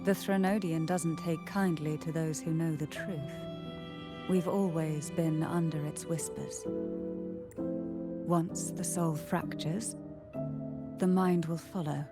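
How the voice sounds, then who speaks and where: An actress voicing an elegant, composed noblewoman in a video game, with measured, formal and softly breathy delivery. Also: low voice, steady tone. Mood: grave, ominous. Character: elegant, composed, enigmatic noblewoman of a powerful family. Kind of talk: dramatic video game voice acting. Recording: music behind the voice.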